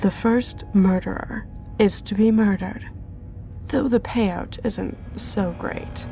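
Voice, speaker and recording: Woman acting a slow, gravelly female Reaper. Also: medium pitch, fairly even intonation, dark tone. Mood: dry, cunning. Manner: measured, unhurried, coolly authoritative. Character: the Reaper, calm, cunning female leader of soul-takers. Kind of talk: audio drama acting. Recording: music behind the voice.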